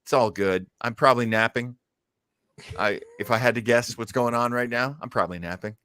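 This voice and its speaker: A man's voice: mid-range voice, fairly quick, sharp tone, clear, resonant tone. A man hosting a show in a casual, unscripted way, shifting between theatrical announcing and rambling chat. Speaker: show host.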